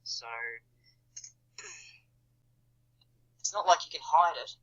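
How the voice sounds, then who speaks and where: A man's voice: a little high, very slow, a bit bright, husky, muffled recording. A man in casual group chat, talking earnestly and rambling, with many fillers and restarts. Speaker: chat participant.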